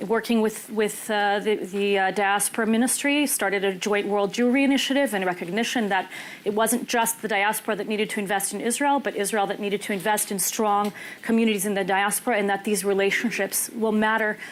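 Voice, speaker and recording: A woman's voice: mid-range voice, steady tone, brisk. A woman born in the US whose first language was Hebrew, speaking as a panelist on a clip-on mic and talking candidly with frequent ums and restarts. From United States. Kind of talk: panel talk. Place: conference room.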